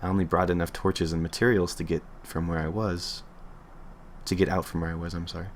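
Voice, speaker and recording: A man's voice: low voice, level tone, a little fast, slight background noise. A man reading a creepy internet story aloud on a livestream in an offhand way, stumbling and correcting himself as he goes.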